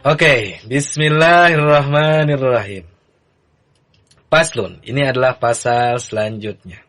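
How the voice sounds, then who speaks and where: A man leads a beginners' lesson on a religious text, unhurried and easygoing. He speaks slowly at a middling pitch, with faint music playing softly behind him. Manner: relaxed, chanting the text lines in a sung recitation. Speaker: religious teacher. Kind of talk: kitab reading lesson.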